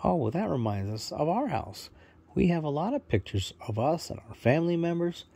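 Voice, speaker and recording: A man's voice: fairly high pitch, big pitch swings, fairly quick, vocal fry, mellow, husky, heavily acted. A man voicing a polite young boy on a forest adventure in a children's bedtime story, hesitant and marveling. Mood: delighted.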